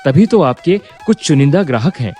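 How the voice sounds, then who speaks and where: A man plays an ambitious young graduate in a cartoon story, impatient and insistent. His pitch swings widely in a mellow, mid-range voice, with music behind. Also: big pitch swings, a little fast. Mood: scolding. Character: ambitious, impatient young business graduate, son of eatery owner. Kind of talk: Hindi cartoon story voice acting.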